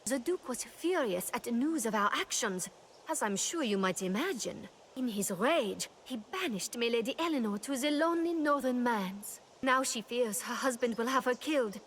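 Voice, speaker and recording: Woman acting an earnest, high-voiced lady's maid. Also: expressive, moderate pace, a little hiss or hum, close to an everyday voice. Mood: worried pleading. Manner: formal, archaic, pleading. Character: devoted, earnest lady's maid, urgent and indignant. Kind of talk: fantasy game voice acting.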